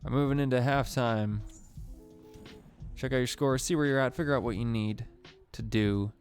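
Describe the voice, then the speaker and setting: A man's voice: mid-range voice, moderate pace, soft background music. A man hosting a live game stream, reading prompts aloud with joking asides. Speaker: streamer host. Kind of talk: casual game stream commentary.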